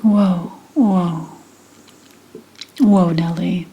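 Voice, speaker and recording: A woman playing an indignant, bitter 17th-century witch and healer in a whispered ASMR roleplay, deliberate and scornful. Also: on the low side, steady tone, warm tone, slightly rough, lo-fi sound, full character voice. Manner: slow, deliberate, scornful whispering with pauses and sighs.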